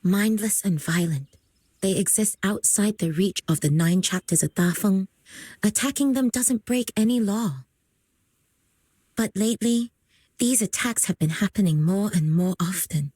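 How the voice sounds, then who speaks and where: Gentle, slightly husky young villager. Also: female voice, medium pitch, a little slow, no echo. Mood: grave, explanatory. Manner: calm, polite, explanatory. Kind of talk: video game character acting.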